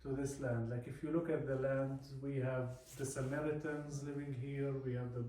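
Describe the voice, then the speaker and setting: Palestinian guy in his 40s, arabic accent, talking off the cuff kinda halting, super echoey room w a bit of noise. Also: mid-range voice, level tone, warm tone. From Palestine, West Bank. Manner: halting, often repeats words and adds "you know". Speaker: speaker from a peace organisation. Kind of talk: informal personal talk to a group. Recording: very echoey room, distant mic, faint noise.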